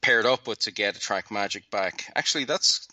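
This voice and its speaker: Low, bright-toned Irish man, dry sound. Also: normal speed, no echo. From Ireland. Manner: relaxed, chatty, loose swearing. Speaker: podcast guest. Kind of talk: casual podcast chat.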